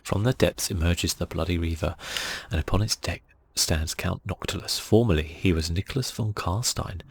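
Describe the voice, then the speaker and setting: A man hosting a gaming channel, speaking in a soft, hushed, relaxed ASMR style as he comments and reads aloud close to the mic. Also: moderate pace. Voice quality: hushed, breathy, creaky. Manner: relaxed, low-key.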